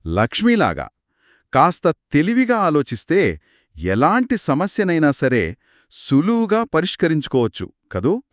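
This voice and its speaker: Animated man telling children's tales. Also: a little high, unhurried, no echo, studio quality. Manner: animated, poses questions to listeners. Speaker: co-narrator of a children's folktale. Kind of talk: conversational two-person storytelling for children.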